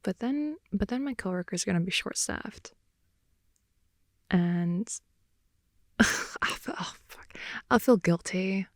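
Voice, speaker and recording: A woman improvising an intimate ASMR roleplay as a playful, affectionate girlfriend in bed, speaking softly, often in whispers and sighs, close to the microphone. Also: mid-range voice, gravelly, no room sound. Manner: soft, often whispery, sighing, teasing. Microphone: close microphone, dry intimate sound.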